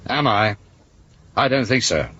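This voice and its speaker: A man's voice: mid-range voice, animated, a little slow, pushed and bright. An actor playing a dogged, indignant male police inspector who argues insistently, in a 1970s British radio drama. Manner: insistent, accusatory. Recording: dull, lightly noisy 1970s radio recording.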